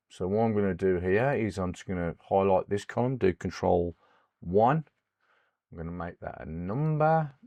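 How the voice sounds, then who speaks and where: Croaky man teaching spreadsheets. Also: fairly low pitch, dry recording, highs cut off. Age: middle-aged. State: hoarse from a cold. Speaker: host of an Excel tutorial channel. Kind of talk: screen-recorded software tutorial.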